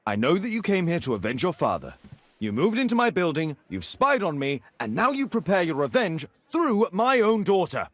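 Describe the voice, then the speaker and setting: An actor playing a proud, suspicious middle-aged detective and guardian in a video game. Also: male voice, high-pitched, sing-song, normal speed, a bit bright, dry recording, exaggerated voice. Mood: accusing. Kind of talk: dramatic video game voice acting.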